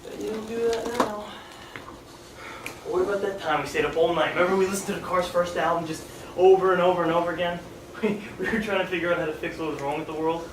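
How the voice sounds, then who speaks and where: A man's voice: high voice, fairly even intonation, fast talker, close to an everyday voice. A young man acting on stage as a dead teenage best friend, nostalgic, casual and earnestly questioning. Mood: nostalgic, probing. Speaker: stage actor. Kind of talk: live stage play acting, conversational dialogue. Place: theatre stage indoors. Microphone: far camera. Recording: echoey, distant, noisy theatre recording.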